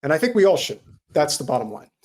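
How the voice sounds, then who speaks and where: A man talking in a casual podcast chat, earnest and halting, often restarting his sentences. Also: a little high, very animated. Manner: earnest, halting, repeats himself.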